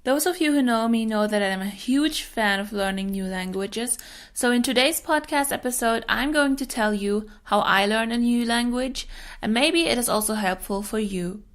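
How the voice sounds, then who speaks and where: A woman's voice: a little high, normal speed. Friendly woman podcast host. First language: German. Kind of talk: solo podcast monologue.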